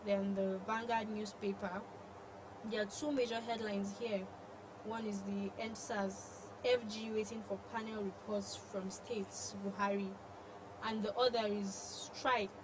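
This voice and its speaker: A woman's voice: medium pitch, little pitch movement, measured pace. A woman with a Nigerian accent co-hosting a news programme, reviewing and discussing the newspapers. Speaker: news show co-host. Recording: clear background noise and ambience.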